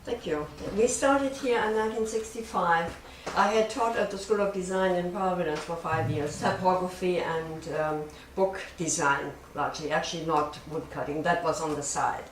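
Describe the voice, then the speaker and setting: An elderly woman, a printmaker recalling her work as an interview guest in a halting, reflective, plain-spoken way. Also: fairly low pitch, fairly even intonation. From born in Germany, raised in Japan. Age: 70s or older. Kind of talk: unscripted personal recollection. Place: print studio workshop. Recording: echoey, distant room with faint noise.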